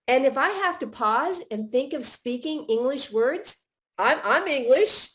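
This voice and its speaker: A woman's voice: high-pitched, expressive, measured pace, a bit bright. A middle-aged woman hosting a live podcast, chatting casually in long run-on thoughts with many restarts. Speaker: podcast host.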